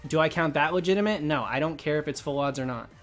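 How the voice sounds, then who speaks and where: A gaming livestream late into the session: a guy with a slightly high voice, going a bit hoarse, talks opinions through with his chat over faint music. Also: fast, a bit bright. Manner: opinionated, explanatory, informal. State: losing his voice. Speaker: live streamer, host.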